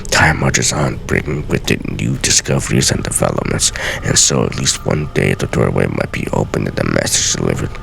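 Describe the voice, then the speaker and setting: A man's voice: fairly bright tone, a little hiss or hum, full character voice, rough recording. A man playing an aged master assassin, grave, weary and theatrical, reading out solemn journal entries from a video game. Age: older. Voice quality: very deep, gravelly.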